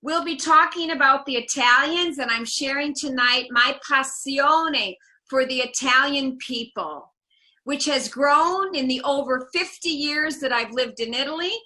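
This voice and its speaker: Woman in her seventies, high voice, very bright tone, from the US Midwest, storytelling tour guide on a video call. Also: unhurried, rough recording. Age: 70s. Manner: warm storyteller, drops in Italian words with relish. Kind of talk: illustrated talk over slides.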